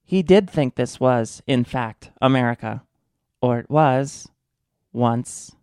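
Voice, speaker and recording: A man's voice: mid-range voice, takes it slowly, darkish tone, clean tone, no room sound, clean studio sound. A man playing a wry, mock-serious storyteller narrating a satirical radio drama. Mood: mock-grave, wry.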